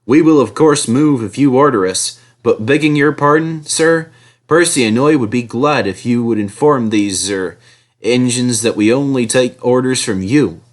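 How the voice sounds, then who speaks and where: A man's voice: mid-range voice, moderate pace, natural voice. A man voicing a proud, formal and courteous engine in a storybook reading. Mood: dignified. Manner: formal and overly polite. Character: proud, polite old-fashioned engine.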